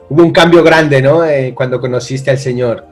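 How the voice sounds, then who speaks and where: A man leads a church testimony interview, prompting his guest with friendly questions. His pitch is average, and he talks with occasional fillers. Faint music plays behind him. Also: moderate pace.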